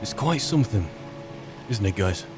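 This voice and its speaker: A man with a British accent roleplaying a weary, chatty wizard's servant turned adventurer, musing in a wry, halting, rambling way. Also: fairly low pitch, lively intonation, music in the background, lightly acted. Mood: wistful musing. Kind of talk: in-character game roleplay monologue.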